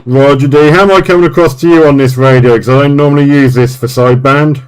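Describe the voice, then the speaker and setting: A man's voice: medium pitch, steady tone, fast. An English man running a CB radio net, chatting in a matey, colloquial way. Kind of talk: casual CB radio chat. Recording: loud radio static and hiss. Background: constant sideband static noise.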